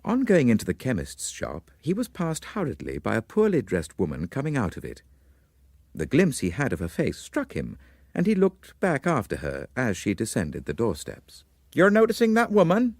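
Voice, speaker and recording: Guy reading an old story, formal and dramatic, really swooping pitch, very dry close recording. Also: wildly swooping pitch, gravelly, darkish tone, no echo. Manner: measured, formal. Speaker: narrator. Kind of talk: dramatic literary story narration.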